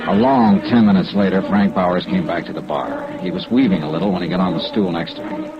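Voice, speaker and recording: An actor playing a wry, weary, persistent insurance investigator in an old radio drama, with clipped hard-boiled delivery. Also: male voice, low-pitched, lively intonation, a little fast, music in the background. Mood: terse narration. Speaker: lead detective and narrator. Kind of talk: 1950s radio drama acting, first-person narration. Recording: dull, band-limited old radio recording.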